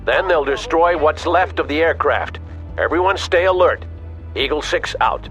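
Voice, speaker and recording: Stern field officer, slightly high forceful voice, authoritative, decisive, video game briefing style. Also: male voice, expressive, normal speed, faint music behind, low-quality recording. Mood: firm, commanding. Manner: clipped, authoritative military orders. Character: stern commanding male army officer, decisive battlefield leader.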